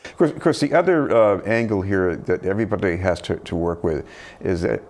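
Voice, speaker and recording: Older man, very deep voice, TV interviewer, halting, repeats words, studio lapel mic. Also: a little mellow. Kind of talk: news interview questions. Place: TV news studio.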